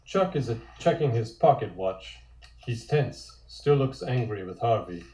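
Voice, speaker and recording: Male narrator, soft-edged and relaxed, reading stage directions, echoey room. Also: medium pitch, measured pace.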